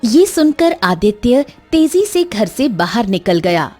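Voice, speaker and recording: Female cartoon story narrator, slightly high, husky and animated, dramatic scripted delivery. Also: takes it slowly, soft background music.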